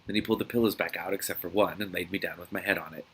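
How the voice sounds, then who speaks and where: A man reading a novel aloud as host of a reaction series, in a dramatic, expressive manner. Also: sits low, rapid-fire, slight background noise, low-quality recording.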